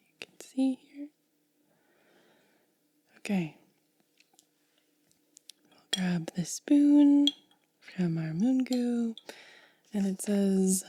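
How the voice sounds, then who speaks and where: A woman speaking in a soft, breathy whisper as an ASMR host, gentle and unhurried, close to the microphone. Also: mid-range voice, no echo. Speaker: ASMR video creator and host.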